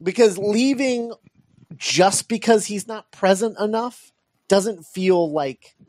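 A man's voice: sits high, takes it slowly, a little mellow, dry recording. A man hosting a podcast, talking casually about film and thinking aloud with frequent hesitations and repeats. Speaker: podcast host.

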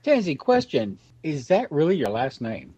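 A man's voice: fairly high pitch, wildly swooping pitch, normal speed, warm tone, slightly rough. A man hosting a panel discussion and putting questions to the guests. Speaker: host.

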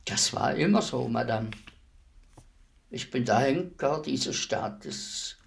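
An actor playing a polite, businesslike state executioner in a German radio play, formal and matter-of-fact. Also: male voice, mid-range voice, animated, slow, gravelly. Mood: polite, calm. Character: polite state executioner, businesslike, unruffled, deferential hired killer.

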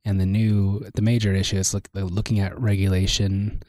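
A man co-hosting a casual chat, his voice hoarse and worn out. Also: sits low, little pitch movement, moderate pace, darkish tone, dry recording. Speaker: university lecturer and podcast co-host.

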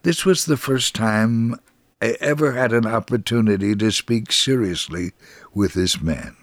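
A man's voice: on the low side, unhurried, no echo. A man reading a scripted first-person devotional story as a narrator. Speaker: story reader.

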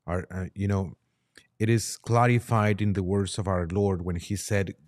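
A man discussing religion in a halting, deliberate, explanatory way, as a priest and podcast guest would. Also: sits low, steady tone, a little mellow. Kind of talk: religious discussion, reading aloud and commenting.

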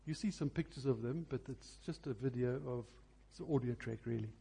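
A man preaching earnestly to a congregation, pausing between phrases for emphasis. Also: mid-range voice, creaky, mellow, husky. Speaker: preacher introducing a recording. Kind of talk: sermon to a congregation.

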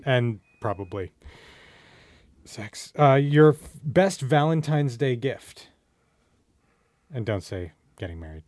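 A man's voice: medium pitch, animated, slow pace, long pauses, darkish tone, dry recording. Playful male podcast host, dry close sound. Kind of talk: casual interview with quick-fire questions.

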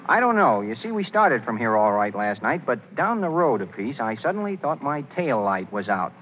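A man plays a breezy young bridegroom in an old radio comedy. He speaks matter-of-factly, with lively inflection and sly calm. The 1930s recording is very thin, with some hiss. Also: medium pitch, moderate pace, phone-quality sound, lightly acted. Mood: innocently sly. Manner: matter-of-fact, nonchalant.

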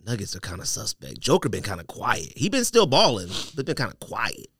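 A low-voiced man trades casual sports talk as a podcast co-host. His pitch swings freely, and he puts things bluntly, with plenty of slang and emphasis. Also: wildly swooping pitch, brisk, a bit bright, husky.

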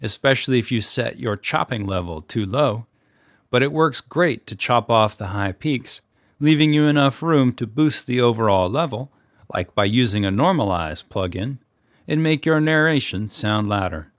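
Male, podcast producer-narrator type, instructional read, average pitch, dry studio-like sound. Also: animated, normal speed, dry recording. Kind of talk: explanatory tutorial narration.

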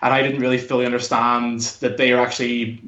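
A man's voice: medium pitch, little pitch movement, fast talker, fairly bright tone. Belfast man in an echoey room. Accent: Belfast, Northern Irish. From Northern Ireland. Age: 30s. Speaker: podcast guest. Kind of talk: casual interview chat. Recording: dull lo-fi sound, echoey room.

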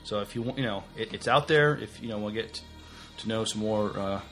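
Halting, low-voiced male podcast co-host, music behind. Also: a bit bright. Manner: halting, hesitant delivery. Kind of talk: casual news chat.

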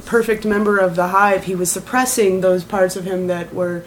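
A woman's voice: mid-range voice, fairly even intonation, moderate pace, poor audio. A woman talking through an idea in a casual group discussion. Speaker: voice actor on a round-table. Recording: faint room ambience noise.

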